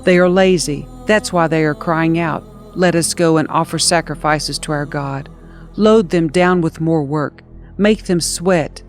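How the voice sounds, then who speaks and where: A woman's voice: low-pitched, measured pace, faint music behind. A woman voicing an arrogant, harsh Egyptian king who barks scornful orders in a dramatized scripture reading. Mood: stern, commanding. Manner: imperious, scornful, barking orders.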